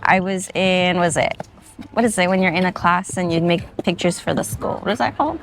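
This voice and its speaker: A woman's voice: on the low side, a little fast, slight background noise. A young adult woman, a social media creator, chatting casually as a podcast guest outdoors at a beach park, with plenty of filler words. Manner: chatty, uses many fillers like "like" and "um". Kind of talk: casual interview chat.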